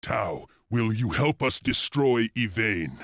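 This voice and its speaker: Low-voiced stoic warrior lord. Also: male voice, animated, slow pace, a bit bright, no room sound, studio quality, heavily acted. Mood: challenging. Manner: grave, decisive. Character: stoic, determined warrior lord leading his companions. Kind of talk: fantasy game voice acting.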